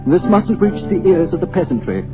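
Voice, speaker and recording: An actor playing an eccentric, theatrical occult hunter in an old radio drama. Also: male voice, sits high, very animated, a little fast, over music. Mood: grandiose. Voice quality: theatrical, grandiose. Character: Harley, eccentric occult-hunting older man. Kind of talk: 1940s radio drama acting. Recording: muffled old radio broadcast.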